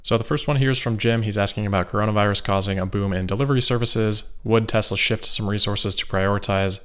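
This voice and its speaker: A man hosting a solo investor news podcast, giving scripted commentary in a measured, analytical, explanatory way. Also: on the low side, fairly even intonation, a bit bright, clean tone.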